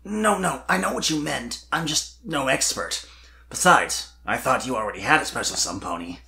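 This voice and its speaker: A performer voicing a gentle, awkward young male dragon from a storybook, wistful and reassuring in a low-key, hesitant way. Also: expressive, normal speed, gravelly. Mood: flustered.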